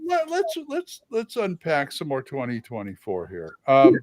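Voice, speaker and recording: A man hosting a livestream, chatting casually about tabletop games, opinionated and halting with sudden loud, excited bursts. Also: medium pitch, no echo. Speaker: livestream host.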